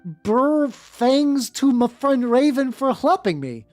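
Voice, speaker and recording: A woman's voice: high-pitched, sing-song, slow pace, darkish tone, voice pushed up, full character voice. A woman voicing a melodramatic, angsty goth teenage girl from a fan fiction story. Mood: indignant. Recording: dry close sound with music behind.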